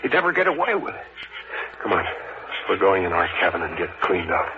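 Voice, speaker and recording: An actor playing an anxious young bridegroom who tries to stay in charge, urgent and slangy, in the melodramatic style of 1940s radio thrillers. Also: male voice, sits low, sing-song, a little fast. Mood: firm, reassuring. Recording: noisy lo-fi vintage radio recording.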